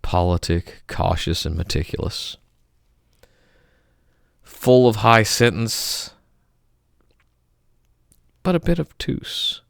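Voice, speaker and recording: A man giving a theatrical, melodramatic poetry reading, swinging between loud outbursts and hushed murmurs. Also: fairly low pitch, big pitch swings, slow pace, gravelly, long pauses, no echo, clean studio sound. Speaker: poetry reader.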